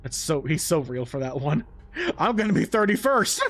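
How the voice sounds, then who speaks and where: A man's voice: a little high, very animated, normal speed, a bit bright. A man hosting a casual let's-play, chatting and rambling with a co-host while he plays, laughing often. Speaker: gameplay host.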